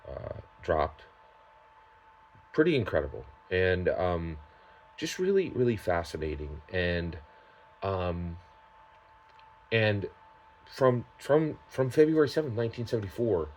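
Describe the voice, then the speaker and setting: A man giving unscripted, opinionated commentary as a tabletop gaming vlogger, emphatic and halting, often tagging his points with a questioning word. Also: low voice, darkish tone, a little hiss or hum. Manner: emphatic, halting delivery with repeated tag questions.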